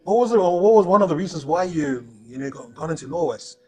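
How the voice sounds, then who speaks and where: A man from Samoa acting as co-host at home, asking his wife questions in a halting, playful way, often repeating himself. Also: a little high, lively intonation, slightly rough, soft background music. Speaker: co-host, interviewer. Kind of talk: casual chat.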